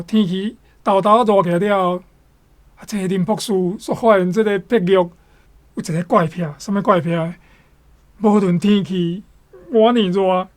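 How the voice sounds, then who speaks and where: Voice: medium pitch, close to an everyday voice. Radio drama role: an actor as a character puzzling over another person's odd habit.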